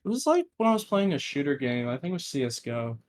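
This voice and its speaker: A man guest on a podcast with a monotone voice, explaining things in a halting, plain way. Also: on the high side. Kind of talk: casual interview answers.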